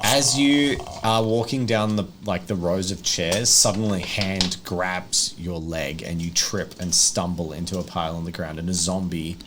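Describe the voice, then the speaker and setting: Low-voiced man running a tabletop game. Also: steady tone, normal speed, few pauses, noisy background. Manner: improvised, conversational rules explanations mixed with scene narration. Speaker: game master. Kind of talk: narrating a tabletop roleplaying game, casual. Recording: loud music behind the voice. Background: music.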